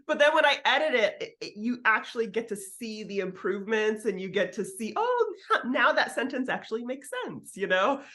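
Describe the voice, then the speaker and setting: A woman's voice: on the high side, very animated, a little fast, sharp tone. A woman chatting informally as an interview guest, giving writing advice in a candid way with the occasional swear word. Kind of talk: casual interview chat.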